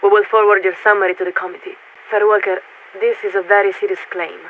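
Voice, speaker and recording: A woman's voice: medium pitch, little pitch movement, fast talker. Crackly narrowband radio, female military warship officer, official, detached, clipped phrasing, video game character. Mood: formal, probing. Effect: radio transmission filter. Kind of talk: scripted video game voice acting. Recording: narrow, tinny radio link with static.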